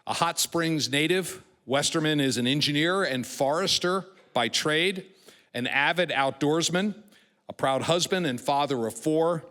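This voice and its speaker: A man's voice: a little high, measured pace, pushed and bright. A man hosting an event, giving a formal, partly read introduction of a speaker. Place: large event hall, public address. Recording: echoey hall with long reverb.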